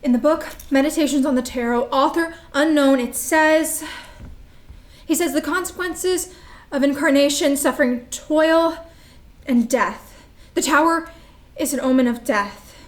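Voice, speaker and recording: A woman's voice: sits high, a little slow. A woman acting a frantic, superstitious young woman in a staged play reading, agitated and repeating herself as she mutters. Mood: anxious, intent.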